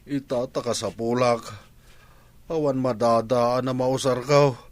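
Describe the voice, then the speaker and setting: A man's voice: medium pitch, steady tone, unhurried, no room sound, close to an everyday voice. An actor playing a hard-drinking husband with an injured foot in a radio drama, groaning and complaining at his wife. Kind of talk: Ilocano radio drama acting.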